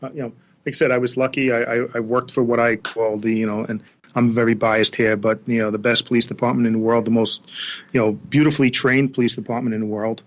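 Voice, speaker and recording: A man's voice: mid-range voice, little pitch movement, fast. Dull lo-fi recording of middle-aged New man. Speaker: interview guest, accountant and former police officer. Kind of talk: casual interview talk. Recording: dull, lo-fi remote connection.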